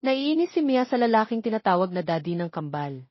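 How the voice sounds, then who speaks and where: A woman's voice: medium pitch, very animated, fairly quick, dry recording, highs cut off. A woman reading scripted story narration as the narrator of an audio romance.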